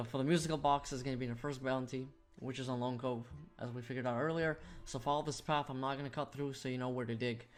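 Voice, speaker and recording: A man's voice: medium pitch, fairly even intonation, fast talker, few pauses. A man giving a casual, step-by-step video game walkthrough as a guide host. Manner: explanatory, step-by-step. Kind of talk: walkthrough commentary, casual instructional. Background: music.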